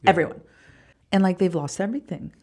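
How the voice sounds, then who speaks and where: Low-pitched, creaky-voiced woman from Costa Rica. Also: a little mellow. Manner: informal, halting, emphatic with repeated words. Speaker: podcast guest, venture fund founder. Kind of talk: casual interview conversation.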